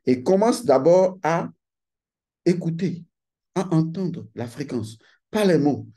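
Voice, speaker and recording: A man's voice: fairly high pitch, animated, takes it slowly, mellow, slightly rough. Emphatic man lecturing. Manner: emphatic and insistent, repeats key phrases. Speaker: channel host giving a talk. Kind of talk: lecturing monologue to camera.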